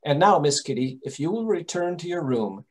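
A man playing a calm gentleman detective in an old-time radio drama, measured, reassuring and authoritative. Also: fairly high pitch. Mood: firm, instructing.

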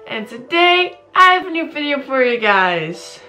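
A young woman, chatting casually to camera in her bedroom, a little sleepy and raspy, rambling with many fillers and restarts. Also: sits high, very animated, measured pace, pushed and bright, soft background music. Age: young adult. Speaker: beauty vlogger. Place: small bedroom indoors. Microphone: camera in front at close range.

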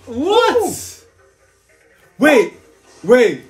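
A young man giving excited, casual reaction commentary as a co-host, exclaiming and repeating his phrases. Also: very high voice, drawn-out words, soft background music. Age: young adult. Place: small living room, seated on a couch.

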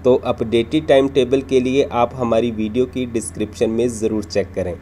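A man's voice: mid-range voice, steady tone, moderate pace, dark tone, a little hiss or hum. A man narrating a travel vlog from a script, explaining things in an informative, orderly way. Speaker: travel vlogger and host.

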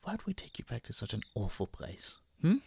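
A man playing an obsessive, doting yet menacing masked kidnapper in an audio roleplay, speaking softly and tauntingly to his captive. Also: medium pitch, huge pitch swings, vocal fry, breathy edge, exaggerated voice. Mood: taunting, possessive. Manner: soft, taunting, condescending, drawn-out murmurs and whispers. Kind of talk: intimate audio roleplay monologue addressed to the listener.

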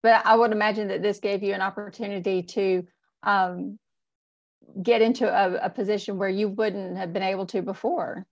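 A woman hosting an interview over a video call, asking questions in a measured, polite way. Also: medium pitch, steady tone. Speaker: interview host. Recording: dull lo-fi video call audio.

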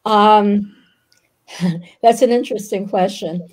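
An older woman whose first language was Yiddish, speaking as a guest author on a video call from a book-lined room, pausing often with ums. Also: mid-range voice. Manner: thoughtful, frequent ums. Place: home room with bookshelves.

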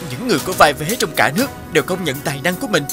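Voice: medium pitch, expressive, rapid-fire, natural voice. An actor voicing a proud young male painter in a cartoon dub, polite but boastful. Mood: indignant. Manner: polite, self-satisfied. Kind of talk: cartoon dubbing. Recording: music behind the voice.

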